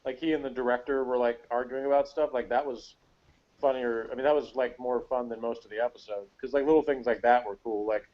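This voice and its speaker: A man's voice: mid-range voice, fairly even intonation, brisk. Wry man rambling through muffled audio. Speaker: podcast panelist. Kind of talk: casual chat review. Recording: dull lo-fi call audio.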